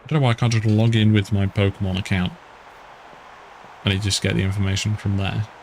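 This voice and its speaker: A man's voice: on the low side, flat delivery, a little fast, gravelly, a little mellow, slight background noise. A man from the UK casually commenting while playing a video game, with wry, grumbling asides. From United Kingdom. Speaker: gaming streamer. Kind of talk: casual let's-play commentary. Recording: game music behind the voice. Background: video game music.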